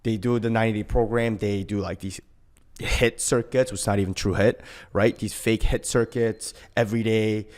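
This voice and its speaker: Low-pitched man, emphatic casual talker. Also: steady tone, normal speed, a little mellow. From born in Korea, later moved to Canada. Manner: emphatic, often tags sentences with "right?". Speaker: personal trainer and boxing coach, podcast guest. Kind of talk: casual podcast conversation.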